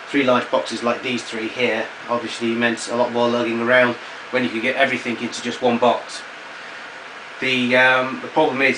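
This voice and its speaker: A man giving an unscripted, matter-of-fact hands-on walkthrough of equipment, explaining it as a collector would. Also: mid-range voice. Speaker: vintage tape recorder collector giving a demonstration. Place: indoors in a domestic kitchen. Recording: noisy amateur camera sound.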